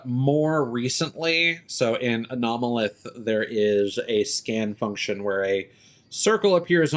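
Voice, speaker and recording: A man giving casual live game stream commentary in a rambling, halting, mildly griping way. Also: fairly low pitch.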